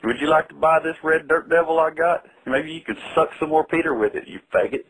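Male prank caller, somewhat high register, crude and foul-mouthed. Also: brisk, fairly bright tone, a little hiss or hum, rough recording. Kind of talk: prank phone call.

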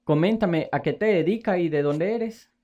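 A man's voice: high-pitched, normal speed, lo-fi sound. A man hosting a casual interview as a teacher, asking questions and repeating words for emphasis. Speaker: interview host, trading teacher.

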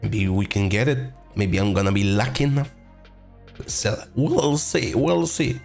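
A young man with a voice hoarse from being sick, chatting casually through a game video and getting excited over rare drops. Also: lowish voice, unhurried, faint music behind. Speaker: gaming video host. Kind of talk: casual gameplay commentary.

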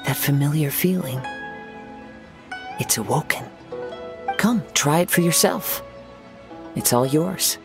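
An actor playing a gentle, serene young wandering swordsman poet in a video game, calm and eloquent in formal phrasing. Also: on the high side, takes it slowly, long pauses, music in the background. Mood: serene, warm. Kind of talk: scripted video game dialogue.

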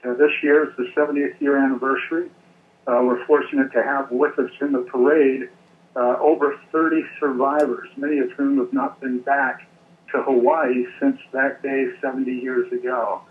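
A man's voice: medium pitch, level tone, normal speed. American man on a noisy phone line. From United States. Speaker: event organizer, interview guest. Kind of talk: phone interview. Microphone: telephone.

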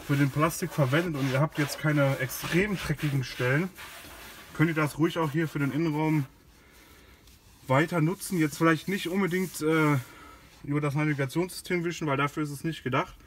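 A man's voice: on the high side, fairly quick, a little hiss or hum. A man giving a casual product demonstration as a car care host, informal and a little hesitant while he works. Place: inside and beside a car in a garage.

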